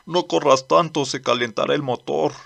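A man voicing a gruff, practical older desert traveller in a manga dub, gravelly and matter-of-fact. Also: a little high, normal speed, a bit bright, full character voice. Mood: instructive. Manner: firm, matter-of-fact, instructing. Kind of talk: amateur manga dub acting.

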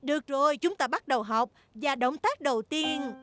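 A woman's voice: high voice, normal speed, loud music behind the voice, lightly acted. A woman voicing an elegant lady gazelle who teaches ballet in a cartoon dub, with a theatrical, instructing delivery.

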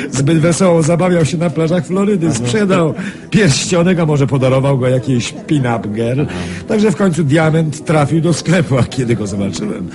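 An actor playing a sly, smug card-sharp recounting his scheme in a radio drama. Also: medium pitch, lightly acted. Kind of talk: radio drama acting, storytelling. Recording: music playing behind the voice.

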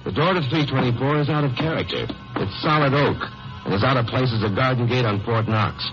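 An American actor playing a wry, hard-boiled lawyer-sleuth, sardonic and tongue-in-cheek, in a 1950s radio crime drama. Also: male voice, mid-range voice, brisk, over music, natural voice. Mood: sardonic narrating. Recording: muffled, noisy 1950s radio recording.

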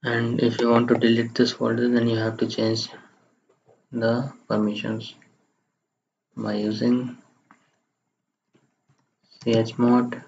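Muffled, flat-voiced man giving a software tutorial. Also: mid-range voice, slow pace, vocal fry, pauses often. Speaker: tutorial presenter. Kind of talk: software screencast tutorial, step-by-step narration. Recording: muffled lo-fi microphone, faint background noise.

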